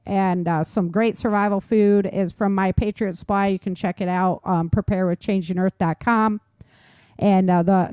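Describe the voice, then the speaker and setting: A woman's voice: on the low side, mellow, clear, resonant tone, no echo, dull audio. A middle-aged American woman hosting a podcast, talking informally and emphatically as she instructs her listeners directly. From United States. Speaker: podcast host. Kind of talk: casual instructional podcast talk.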